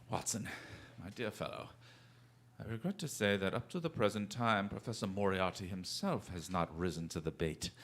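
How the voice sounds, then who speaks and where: A bright-voiced, animated gentleman detective. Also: on the low side. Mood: composed, shrewd. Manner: urbane, theatrical, drawn-out courteous phrasing. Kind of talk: theatrical radio drama acting.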